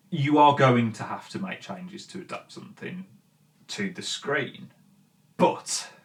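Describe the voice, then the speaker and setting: A man's voice: medium pitch, a bit bright. A man hosting an opinionated commentary show, talking conversationally and emphatically in an informal, mildly sweary way. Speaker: host of a film and games commentary show. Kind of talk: opinionated video essay talk, conversational.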